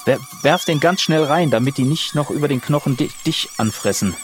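A man's voice: on the high side, lively intonation, a little fast, faint music behind. A man playing a role-playing game, talking casually and improvising in character.

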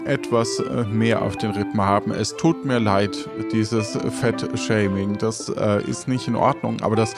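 A man hosting an audio play as game master, talking casually and informally with halting phrasing. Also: medium pitch. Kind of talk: casual podcast talk and narration. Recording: music behind the voice.

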